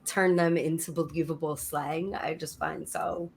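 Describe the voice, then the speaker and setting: A woman's voice: low voice, fairly even intonation, unhurried, vocal fry, a little hiss or hum. A woman joining a casual discussion, talking with enthusiasm.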